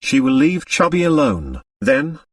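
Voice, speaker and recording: A man's voice: on the high side, wildly swooping pitch, a little slow, dry recording, far from the mic, full character voice. A man voicing a society lady's grown daughter, blunt, cynical and dryly outspoken. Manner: dry, outspoken, impatient.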